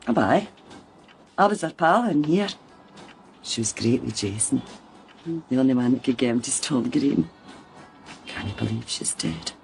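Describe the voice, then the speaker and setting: A woman's voice: rumbling low, animated, a little slow, creaky, long pauses, a little mellow, soft background music, exaggerated voice. An actress playing a harassed, blunt working-class mother with a Scottish accent in a radio crime drama. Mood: upset. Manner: blunt, emphatic, colloquial.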